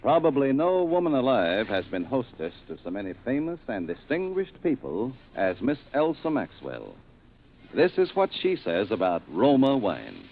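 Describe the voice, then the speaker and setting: Very animated American radio pitchman. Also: moderate pace. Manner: emphatic, spelling out and stressing slogans. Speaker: commercial announcer. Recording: tinny old radio broadcast, faint noise.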